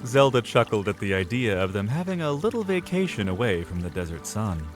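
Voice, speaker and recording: A male narrator with a fairly low voice and lively, swinging intonation. He delivers scripted fantasy storytelling in a dramatic style, with music clearly playing beneath him. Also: a little fast. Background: music under the voice.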